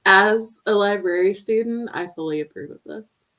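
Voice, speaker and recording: A woman's voice: mid-range voice, normal speed, fairly bright tone. A woman chatting casually as a panelist in a book discussion. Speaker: student and read-along panelist.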